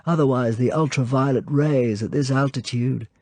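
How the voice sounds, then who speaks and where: Man putting on a light, high-lifted suave villainous count. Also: moderate pace, no echo, close to an everyday voice. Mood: effusive, genial. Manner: effusively courteous, animated. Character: suave, animated, genteel foreign count and villain.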